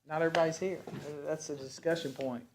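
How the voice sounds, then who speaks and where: A man's voice: a little high, fairly even intonation, quick pace, darkish tone, a little hiss or hum, rough recording. A man at a city budget workshop giving brief, decisive answers. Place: council chamber.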